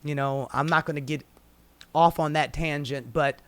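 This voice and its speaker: A man's voice: on the high side, fairly even intonation, moderate pace, smooth, no echo. An American man giving casual, opinionated news commentary in a sardonic, exasperated, sweary manner. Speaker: political commentator.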